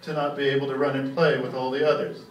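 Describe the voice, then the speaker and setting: A man's voice: medium pitch, level tone, fast talker, highs cut off, very noisy, voice pushed down, close to an everyday voice. A man on stage voicing an earnest, hesitant young boy pleading for a dog in a comic story. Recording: echoey live room, distant mic.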